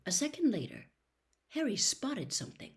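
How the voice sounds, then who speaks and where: A woman reading a story aloud as an audiobook narrator, with expressive scripted delivery. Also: fairly high pitch, moderate pace. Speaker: audiobook reader.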